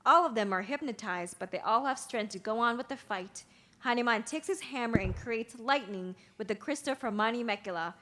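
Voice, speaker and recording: A woman's voice: fairly high pitch, bright, forceful tone. A woman reading stage directions as narrator at a play's table read, in scripted narration.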